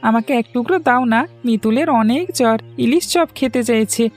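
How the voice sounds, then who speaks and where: Woman voicing a high-pitched, kind-hearted boy. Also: brisk, a little mellow, soft background music, natural voice. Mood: earnest, pleading. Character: kind-hearted, pleading young village boy. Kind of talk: Bengali cartoon voice acting.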